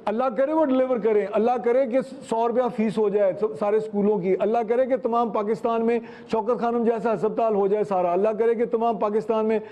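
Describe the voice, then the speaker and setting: A man's voice: high-pitched, fairly even intonation, fairly quick, few pauses, mellow. A man, giving his political commentary in a panel discussion.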